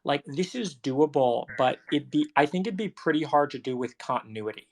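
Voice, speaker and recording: Blunt man, higher-pitched, dry sound. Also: animated, moderate pace, dry recording. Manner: blunt, interjecting. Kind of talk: casual technical debate.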